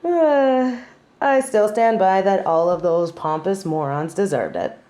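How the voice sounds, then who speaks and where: A woman's voice: medium pitch, expressive, a little slow, warm tone, lightly acted. A woman playing a snobby, haughty fallen angel who is secretly devoted, speaking wry, formal lines in an intimate audio roleplay. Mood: proud, wry. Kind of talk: scripted audio roleplay, intimate monologue to one listener.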